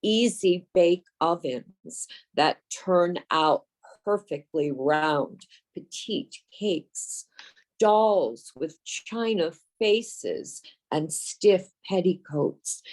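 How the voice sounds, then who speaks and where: A woman from the United States reading her poem with measured, deliberate phrasing. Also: lowish voice, a little mellow. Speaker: poet. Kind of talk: poetry reading.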